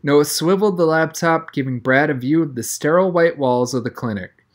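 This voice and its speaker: Conversational storybook reader, medium pitch. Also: fairly quick. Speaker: audiobook reader. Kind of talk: scripted first-person story narration.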